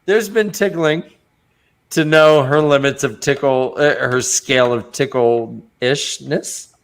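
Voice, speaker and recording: A man's voice: medium pitch, takes it slowly, smooth. A man co-hosting a casual book-discussion podcast, joking around and laughing often. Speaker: podcast co-host.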